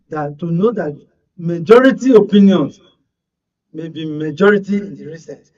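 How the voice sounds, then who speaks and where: A man's voice: a little high, darkish tone. A man with a Nigerian accent speaking formally and emphatically as a guest commentator on a radio panel. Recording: distant, dull, muffled broadcast audio.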